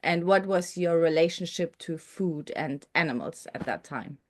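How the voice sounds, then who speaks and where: A woman's voice: sits low, moderate pace. A woman hosting a personal interview, introducing and asking questions in a conversational style.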